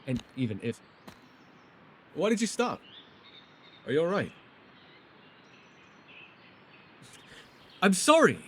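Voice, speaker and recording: Casting: reclusive ancient male elf voiced by a man, mid-pitched, guarded, awkward and earnest, fantasy roleplay audio with faint ambient noise. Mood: hesitant, reflective, then concerned, confused. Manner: halting, stammering, earnest.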